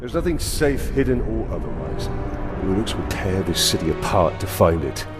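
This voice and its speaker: An actor playing a grim, steadfast ranger captain in a fantasy game, speaking gravely and with measure. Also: male voice, on the low side, sing-song, normal speed, long reverb tail. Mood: grim warning. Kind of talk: dramatic fantasy game acting. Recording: music behind the voice.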